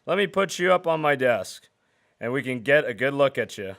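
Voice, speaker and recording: A man's voice: medium pitch, animated, normal speed, gravelly, fairly bright tone. A man playing a mild-mannered, wholesome reporter with old-fashioned exclamations in a radio drama. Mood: friendly, delighted. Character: mild-mannered, kindly male newspaper reporter. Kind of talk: 1940s-style radio drama acting.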